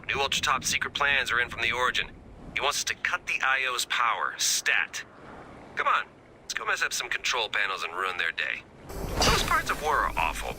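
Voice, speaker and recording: An actor voicing a wry, excitable scientist rebel in a video game, sardonic and chatty. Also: male voice, lowish voice. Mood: gleeful.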